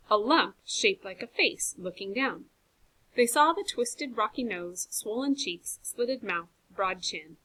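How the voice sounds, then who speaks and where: A woman teacher reading a chapter book aloud to a class, with clear, expressive narration. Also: mid-range voice, rough recording. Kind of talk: storybook read-aloud for a class.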